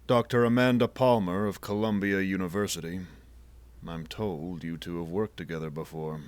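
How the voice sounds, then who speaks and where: Casting: man to play commanding admiral, fifties, audio drama. Low voice, terse, guarded, quietly menacing authority. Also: fairly even intonation, moderate pace, clean tone, close to an everyday voice. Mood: firm, guarded. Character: imposing male admiral in his fifties, firm, intimidating, guarded.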